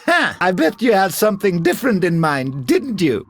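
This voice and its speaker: A man's voice: high-pitched, big pitch swings, normal speed. An actor playing a weary, fretful old man in a video game, a pessimistic mentor given to dramatic sighing delivery. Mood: teasing. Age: older. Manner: dramatic, sighing, swinging between resignation and alarm. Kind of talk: scripted video game acting.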